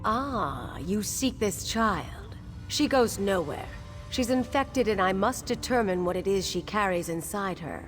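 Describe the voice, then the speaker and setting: A woman playing a stern, mysterious witch healer in a fantasy game, speaking in a commanding, formal, deliberate way. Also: medium pitch, animated, soft background music, natural voice. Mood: stern, assured. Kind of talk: video game character acting.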